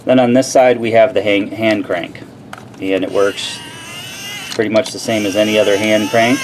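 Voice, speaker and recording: A man's voice: on the low side, steady tone, moderate pace. A man giving a casual, plain-spoken product review and demonstration in an informal way. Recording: clearly noisy background.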